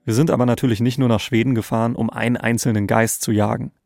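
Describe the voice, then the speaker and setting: A man's voice: mid-range voice, fairly even intonation, quick pace, gravelly, darkish tone, faint music behind. A man co-hosting an investigative podcast in a conversational narrating style. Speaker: podcast co-host.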